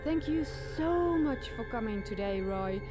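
A woman's voice: a little high, expressive, a little slow, over music. A woman voicing a grateful newlywed bride on the phone, earnest and with raised voice.

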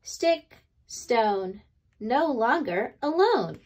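A woman with a fairly high voice reads a picture book to children. Her delivery is very slow and deliberate, with dramatic pauses between phrases and a lively, swooping melody. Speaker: read-aloud storyteller. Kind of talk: expressive children's picture book read-aloud.

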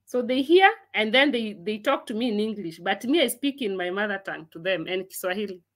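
A woman's voice: medium pitch, expressive, a little fast. A woman in her forties hosting a casual livestream from a room at home, chatty and laughing often as she reads and answers viewers' comments on a laptop mic. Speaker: livestream host.

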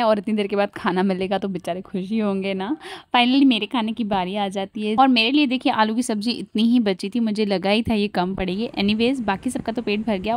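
A woman's voice: medium pitch, a little hiss or hum. A woman in her thirties chatting through a home cooking vlog, slipping English phrases into her talk. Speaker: cooking vlogger. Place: home kitchen, indoors.

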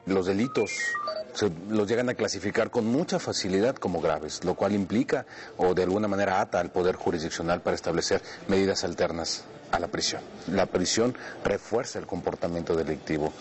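A man's voice: low voice, a little fast, over music. A man in his forties, an expert interviewed in a library, speaking formally and analytically. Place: library indoors. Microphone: lapel mic.

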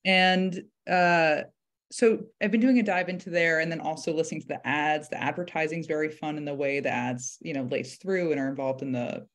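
A woman's voice: low-pitched, steady tone, moderate pace. A young woman speaks as a guest on a video-call panel. Her delivery is casual and conversational as she describes her work. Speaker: theatre director, panel guest. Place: indoor room on a video call.

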